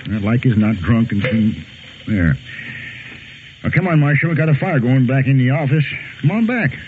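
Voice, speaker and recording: An actor playing a talkative, rustic frontier stable keeper with a rambling, folksy manner in a 1950s radio western. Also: male voice, medium pitch, expressive, moderate pace, natural voice. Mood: chatty, hospitable. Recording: tinny old radio, clear background noise.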